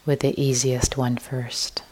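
A woman teacher guiding a meditation, speaking softly and gently with long pauses, sometimes sinking into a whisper. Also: very deep voice, little pitch movement, breathy edge. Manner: slow, soft, gentle guidance with long pauses; drifts into an unvoiced whisper at times. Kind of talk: guided meditation.

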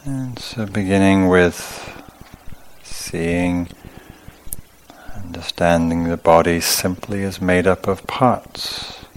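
A man teaching a guided meditation in a calm, measured manner, speaking in short phrases with long pauses. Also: deep voice, a little hiss or hum. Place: meditation retreat.

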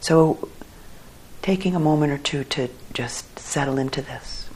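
A woman leading a guided meditation for a group as their teacher, speaking in a calm, measured way with long pauses. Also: deep voice, slight background noise, low-quality recording.

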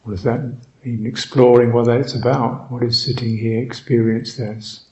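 A man guiding a meditation as a Buddhist teacher, speaking reflectively in short, halting phrases with long pauses. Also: medium pitch, little pitch movement, dark tone, muffled recording. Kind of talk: guided meditation, unscripted and reflective.

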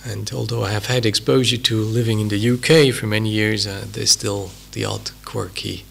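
A man with a Swiss accent giving an unhurried, reflective talk as a meditation teacher, with many pauses and fillers. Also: fairly low pitch, fairly even intonation, vocal fry, a bit bright, slight background noise. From Switzerland. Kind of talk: dharma talk at a retreat.

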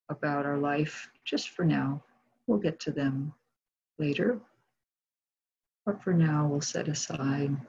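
A woman leading a guided meditation as a teacher, speaking calmly and gently with long pauses in a soft, husky voice. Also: low-pitched. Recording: dull, muffled lo-fi recording.

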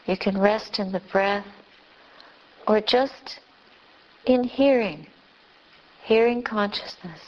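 A woman leading a guided meditation as a teacher, speaking gently and unhurriedly in short phrases with long pauses. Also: medium pitch, dull audio. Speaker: Buddhist nun, meditation teacher.

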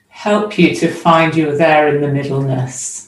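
A woman leads a guided meditation at a very unhurried pace. She speaks in short, measured phrases separated by long pauses, at a middle pitch. The sound is echoey and picked up at some distance from the microphone. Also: fairly even intonation. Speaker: meditation teacher. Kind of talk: guided meditation, slow spoken instruction. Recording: roomy echo, distant microphone.

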